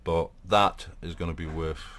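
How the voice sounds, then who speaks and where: Portuguese-accented male host, deep voice, loose and rambling with lots of restarts. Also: fairly even intonation, moderate pace, a bit bright. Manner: rambling, many fillers and restarts. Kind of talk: casual live talk.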